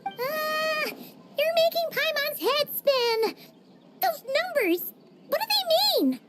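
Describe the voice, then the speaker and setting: A woman voicing a tiny excitable female floating companion in a video game, chirpy and quick to exclaim, with game music behind her. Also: extremely high pitch, background noise, full character voice. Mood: excited. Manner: chirpy, quick to exclaim, talks about herself by name.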